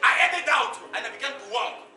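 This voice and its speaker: An actor playing an animated orphaned street hustler, telling his hard life in a theatrical stage monologue with a Nigerian accent, dramatic and emphatic, repeating phrases for effect. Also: extremely high pitch, fairly quick, heavily acted. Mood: animated, wry. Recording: echoey stage far from mic, music.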